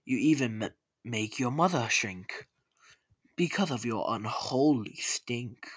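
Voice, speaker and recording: A man voicing a sharp-tongued, short-tempered mother who scolds her boy emphatically in a storybook rhyme. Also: medium pitch, animated, takes it slowly, slightly rough, dry recording, heavily acted. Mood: scolding.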